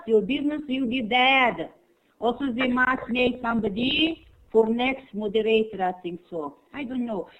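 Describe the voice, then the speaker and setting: An older woman whose first language is German, a human resources teacher, speaking effusive, rambling, halting English in an online conference chat. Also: fairly high pitch. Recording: tinny phone-like online call audio.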